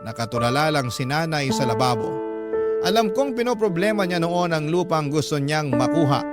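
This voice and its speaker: A man's voice: a little high, animated, normal speed. A man narrating a Filipino radio drama as a scripted, first-person dramatic story. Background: prominent background music.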